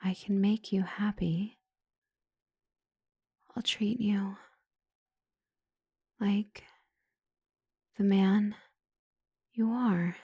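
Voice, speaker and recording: Woman playing female doctor, slow pace, soft dark tone, gravelly creak, hesitant, smitten, ASMR roleplay. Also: medium pitch, steady tone, no echo, exaggerated voice. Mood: entranced, yearning. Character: female doctor, couples counselor, flustered and smitten. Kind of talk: ASMR roleplay audio drama.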